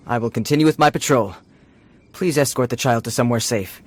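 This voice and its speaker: Man playing a husky, weary patrol guard. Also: mid-range voice, close to an everyday voice. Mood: resigned, formal. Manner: formal, halting, weary. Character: dutiful, flustered, resigned male patrol guard. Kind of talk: video game character acting.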